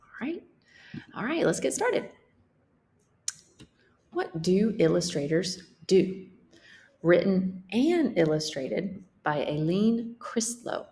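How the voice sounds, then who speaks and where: Woman reading aloud to children, unhurried and expressive. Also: mid-range voice. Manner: explains in simple terms, checks in with questions. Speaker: teacher reading a picture book aloud. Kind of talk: read-aloud lesson for children.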